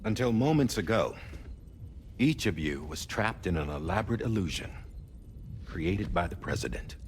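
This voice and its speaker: A man playing a solemn, idealistic leader, speaking in a deliberate, oratorical way in a cinematic game. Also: low voice, animated, slight background noise. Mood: grave, confessional. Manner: deliberate, oratorical, with weighty pauses. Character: solemn, idealistic male leader, measured and sincere. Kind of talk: dramatic cinematic game dialogue, speechmaking. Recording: music behind the voice.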